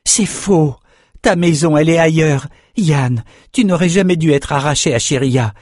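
A man's voice: fairly high pitch, normal speed, darkish tone, no echo, voice pushed up, close to an everyday voice. An earnest, exalted young swordsman from a fantasy audiobook, acted by a man. His voice sits raised and pleads with urgency. The sound is close and dry. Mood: urgent pleading. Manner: urgent, imploring delivery. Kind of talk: audiobook character acting.

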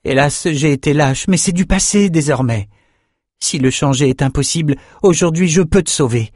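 Man voicing an earnest young swordsman, high and urgent. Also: moderate pace, a little mellow, no echo, studio quality, close to an everyday voice. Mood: urgent pleading. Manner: urgent, imploring delivery. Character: earnest young male swordsman, pleading and exalted. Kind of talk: audiobook character acting.

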